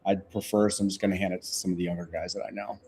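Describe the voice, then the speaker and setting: A man dropping into a live stream between interviews, talking in a relaxed, casual way. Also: low-pitched, racing, slight background noise.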